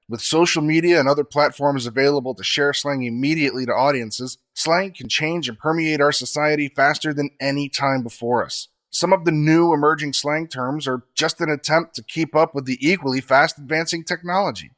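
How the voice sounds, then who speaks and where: A man co-hosting a podcast, explaining facts in a measured, scripted way. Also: fairly high pitch, fairly bright tone.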